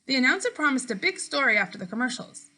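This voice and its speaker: A woman teacher reading a story aloud to children, clearly and expressively, speaking directly to her pupils. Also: sits high, very animated, fast, pushed and bright. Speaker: teacher reading a book aloud to fifth graders.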